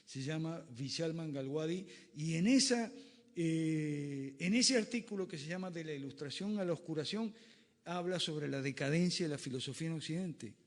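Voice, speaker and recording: A man's voice: on the high side, moderate pace. A man giving a classroom lecture with slides, emphatic and fond of rhetorical questions. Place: lecture room. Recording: reverberant lecture hall.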